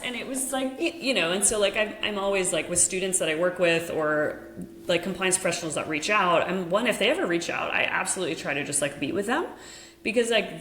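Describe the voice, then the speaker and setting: A woman's voice: lowish voice, a bit bright, roomy sound. A young American woman whose first language is Dutch, a podcast host talking frankly and casually with many fillers. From United States.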